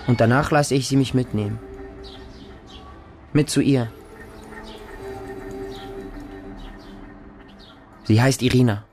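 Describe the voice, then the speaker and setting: A man's voice: mid-range voice, darkish tone, natural voice. An actor playing a distrustful feral orphan boy raised by dogs, narrating a radio drama in short, insistent, repetitive childlike sentences. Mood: softening, wistful. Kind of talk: radio drama monologue, first-person narration.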